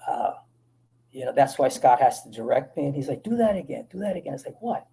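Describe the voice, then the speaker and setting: A man from the Dominican Republic, an actor guest, telling animated stories in a casual interview with many restarts. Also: medium pitch, brisk, creaky.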